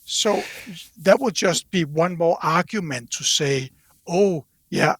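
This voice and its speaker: A man speaking as an interview guest in casual conversation, haltingly, repeating words as he thinks aloud. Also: a little high, lively intonation, breathy edge, no echo. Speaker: podcast guest from a loudspeaker company.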